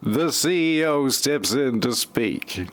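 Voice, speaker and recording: A man's voice: mid-range voice, animated, slow, heavy background noise. A man reading scripted narration for an amateur parody audio play.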